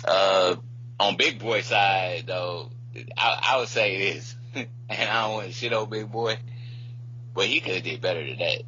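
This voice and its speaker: Slangy man with a bright, forceful voice. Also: low voice, unhurried. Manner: slangy, profane, rambling. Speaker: podcast co-host. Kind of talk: casual music discussion. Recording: muffled lo-fi podcast audio with hum.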